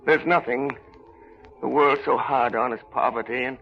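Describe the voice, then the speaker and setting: A man's voice: medium pitch, steady tone, moderate pace, creaky, slight background noise, natural voice. A man acting an old miser shaken by regret in a 1930s radio drama, stammering and repeating himself. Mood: pleading, defensive. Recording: muffled old radio broadcast with faint music.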